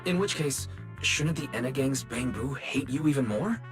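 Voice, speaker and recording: A man's voice: medium pitch, normal speed, soft background music, lightly acted. A man playing an earnest, inquisitive young detective in a video game, wary and questioning. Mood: puzzled. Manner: questioning, expressive, puzzled. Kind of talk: video game story voice acting.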